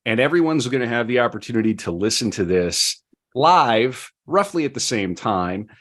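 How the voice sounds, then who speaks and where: A man's voice: medium pitch, expressive, moderate pace, a bit bright. A man hosting a podcast in casual, playful banter with a jokey, theatrical way of talking. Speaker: podcast host.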